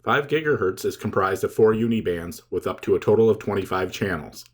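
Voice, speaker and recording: Man, lowish pitch, scripted tutorial narration, measured step-by-step delivery, instructional presenter. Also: expressive.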